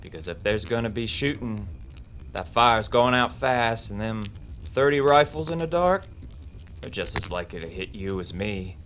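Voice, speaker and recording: A man playing a cool, deep-voiced outlaw. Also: lively intonation, a little hiss or hum. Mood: calm. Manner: slow, quiet, unhurried warnings. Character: calm, deadly male outlaw gunfighter. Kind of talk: audio drama acting.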